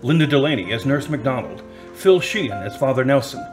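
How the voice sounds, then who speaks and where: A man's voice: medium pitch, animated, brisk. A man reading scripted narration for a radio drama as its narrator. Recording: music under the narration. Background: music.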